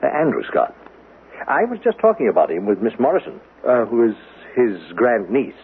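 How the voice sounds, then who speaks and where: A man's voice: mid-range voice, huge pitch swings, moderate pace, husky, exaggerated voice. An actor playing an obliging, chatty parish clergyman in a radio drama, hesitant and repeating words in eager bursts. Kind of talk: old-fashioned radio drama acting. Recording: muffled narrow-band radio broadcast.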